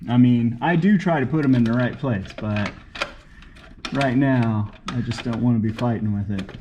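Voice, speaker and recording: Male DIY mechanic vlogger, mid-pitched, casual and folksy, off-the-cuff, roomy noisy recording. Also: normal speed, highs cut off. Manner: folksy, muttering to himself and explaining to camera. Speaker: DIY car repair vlogger. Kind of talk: casual unscripted talk while working. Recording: echoey, noisy, clicking, uneven mic distance.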